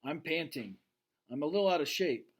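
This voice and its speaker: A man voicing a solemn gorilla who tells his own storybook tale in short, measured, deliberate sentences. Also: fairly high pitch, expressive, breathy edge.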